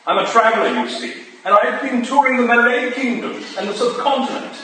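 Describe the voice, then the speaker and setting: An actor playing a charming, stylish Victorian gentleman traveller in a staged play reading, courteous and flirtatious, recorded from a distance in an echoey hall. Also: very high-pitched, a little fast. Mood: breezy, curious.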